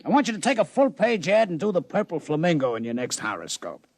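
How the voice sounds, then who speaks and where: A man's voice: a little high, very animated, quick pace, darkish tone, dry recording. An actor playing a brash, wisecracking male casino boss in a 1950s radio drama. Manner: brash, wisecracking, laughs a lot. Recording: dull old radio broadcast.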